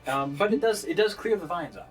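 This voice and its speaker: A man running a tabletop game as game master, narrating scenes off the cuff with halting pauses to think. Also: high-pitched, lively intonation, faint music behind.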